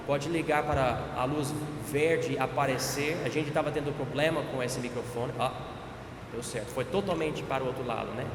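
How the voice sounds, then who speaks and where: A man's voice: mid-range voice, normal speed. American pastor guy w/ accent, chatty intro, roomy church echo and hiss. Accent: American-accented Portuguese. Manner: friendly, informal, joking. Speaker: host pastor. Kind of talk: introducing the guest preacher. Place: church hall. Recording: echoey hall with reverb and background noise.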